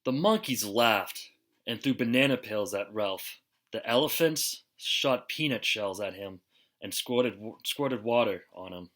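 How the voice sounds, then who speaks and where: Bright-voiced man reading a children's story, animated. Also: lowish voice, unhurried. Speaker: storybook reader. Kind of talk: expressive children's picture book read-aloud.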